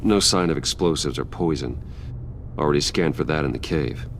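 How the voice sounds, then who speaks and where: A very deep-voiced, wealthy businessman in a video game. His delivery is measured, courteous and guarded, with a gravelly grain and a faint noise behind it. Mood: calm, serious.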